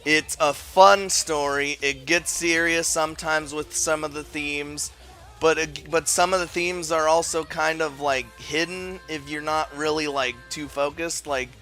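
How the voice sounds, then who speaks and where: A man's voice: fairly high pitch, fairly even intonation, unhurried, bright, forceful tone, faint music behind. A man giving casual, informal video commentary as a game reviewer, talking in an enthusiastic, rambling way. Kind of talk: casual top-five list commentary.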